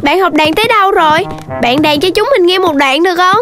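An actor voicing a sensible, slightly bossy and caring young rabbit in a children's cartoon, earnest and quick to scold. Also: extremely high pitch, music in the background. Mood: curious, caring. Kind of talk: children's cartoon dubbing in Vietnamese.

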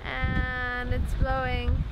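A young woman with a Swedish accent vlogging to a handheld camera on a sailboat at sea, speaking haltingly with many fillers. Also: high voice, monotone, highs cut off. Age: young adult. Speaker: sailing vlogger. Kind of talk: casual vlog to camera. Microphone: handheld camera at arm's length. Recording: windy outdoor camera audio. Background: loud wind and boat noise.

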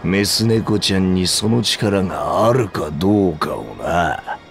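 A man voicing an arrogant, taunting two-headed reptilian warrior in a Japanese video game, with a gravelly, coarse delivery. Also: sits low, lively intonation, slow pace, soft background music, exaggerated voice. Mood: menacing challenge. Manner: taunting, boastful, coarse speech.

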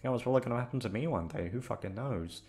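A man's voice: medium pitch, rapid-fire, a little mellow, soft background music. A man chatting casually and hesitantly to his listeners, rambling along in a self-deprecating, sweary way. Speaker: audio content creator.

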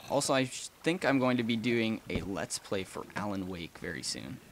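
A man's voice: lowish voice, animated, normal speed, fairly bright tone, a little hiss or hum. A young man casually chatting and rambling through a let's play, with frequent ums and small laughs. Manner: rambling and chatty, many ums, gasps and laughs while playing. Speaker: gaming channel host.

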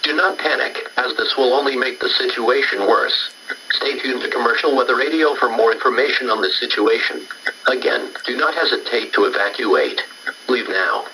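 A man's voice: medium pitch, normal speed, bright, forceful tone. A man reading a scripted emergency broadcast announcement as an alert announcer. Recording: noisy, distant broadcast-style recording.

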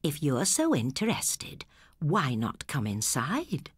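A woman voicing a kindly old English lady shopkeeper in a storybook tale, with a soft, slow, inviting manner. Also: low voice, huge pitch swings, husky, no echo, studio quality, heavily acted.

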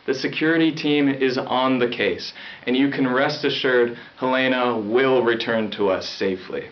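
A polished, agreeable teenage boy anchoring a school newscast, acted by a young man. His pitch is average and the sound is muffled like old nineties videotape. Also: steady tone, moderate pace, natural voice. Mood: reassuring. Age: young adult. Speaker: news anchor. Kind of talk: scripted school news presenting, acted. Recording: dull lo-fi 1990s VHS sound.

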